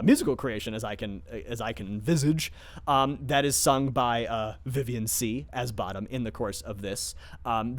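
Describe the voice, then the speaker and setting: Halting, bookish man talking theatre. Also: medium pitch. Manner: halting, searching for words, enthusiastic literary explainer. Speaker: theatre director discussing his production. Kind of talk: informal, scholarly talk about a play.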